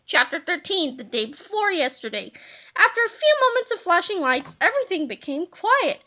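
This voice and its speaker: Bright, very high-voiced woman reading a storybook. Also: sing-song, normal speed. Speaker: storybook reader. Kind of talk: children's book read-aloud.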